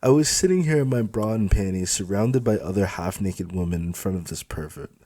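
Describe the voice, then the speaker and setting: A man's voice: fairly low pitch, expressive, fairly quick, warm tone, no echo. A man voicing a defiant young female job applicant in an audiobook, indignant, blunt and challenging. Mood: angry. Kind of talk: dramatised audiobook dialogue.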